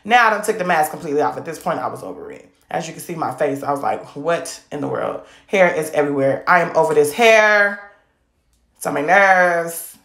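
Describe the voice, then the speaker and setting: A woman's voice: sits low, expressive, a little fast, a bit bright, roomy sound. An American woman vlogger narrating casually in a playful, sassy, slangy way. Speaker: vlogger showing a hairstyle.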